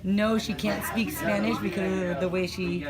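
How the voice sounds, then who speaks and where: A woman in her thirties, a teacher, answering interview questions in a thoughtful, measured way, filmed in a classroom office. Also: mid-range voice, steady tone, over a noisy crowd. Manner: thoughtful, measured, mixes in fillers.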